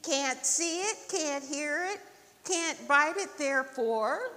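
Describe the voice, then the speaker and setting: A woman voicing a stubborn, literal-minded storybook turtle who moralizes in an emphatic, deliberate way. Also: high voice, animated, pushed and bright, pitched above the performer's own voice, exaggerated voice. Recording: long hall reverb, faint noise.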